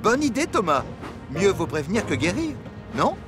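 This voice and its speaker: A fretful young cartoon steam engine with a high voice that swings up and down. He is eager yet hesitant and easily worried. Music plays clearly behind him. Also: sing-song, normal speed. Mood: anxious, cautious. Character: anxious young male steam locomotive, eager but fretful. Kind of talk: French children's cartoon dubbing.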